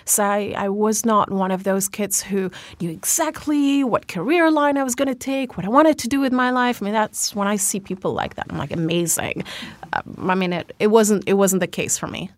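A woman's voice: mid-range voice, moderate pace, dry recording. A woman in her thirties with a Lebanese accent, speaking as an interview guest, reflective and full of restarts. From Algeria. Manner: reflective, many fillers and restarts. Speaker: guest, fashion founder. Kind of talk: conversational interview.

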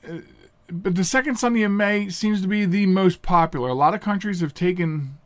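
A man's voice: high voice, brisk, dry recording. A man hosting his radio talk show alone, a little nasal and stuffed up from pollen, chatting in a rambling way with fillers and restarts. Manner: rambling, chatty, with self-corrections and filler words. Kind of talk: casual solo radio monologue.

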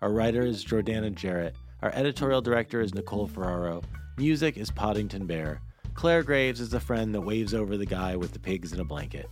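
A man's voice: on the low side, animated, fairly quick, soft background music. A man hosting an interview podcast in a casual, conversational way, often rephrasing as he goes. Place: studio.

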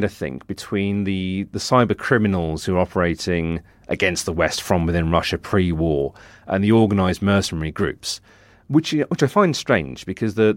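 A British man with a deep voice talks as a reporter on a news podcast. His analysis is discursive and full of qualifying asides. The sound is dry, with very little room in it. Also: no room sound. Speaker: technology reporter.

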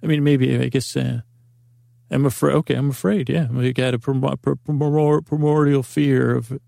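A man's voice: mid-range voice, fairly even intonation, moderate pace, gravelly, warm tone, no room sound, studio quality. A man hosting a podcast, rambling through a comic monologue full of restarts and self-interruptions, acting out imagined exchanges. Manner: acts out imagined dialogues. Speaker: podcast host.

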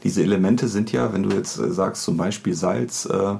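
A man's voice: sits low, moderate pace, gravelly, a little mellow, a little hiss or hum. A man hosting a casual podcast interview, feeling his way through questions with hesitant phrasing.

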